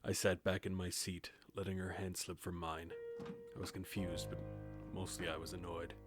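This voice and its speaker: An actor playing a centuries-old grim reaper, narrating an audio drama in a measured, detached, solemn way. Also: male voice, low voice, monotone, natural voice. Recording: music behind the voice. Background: music with guitar.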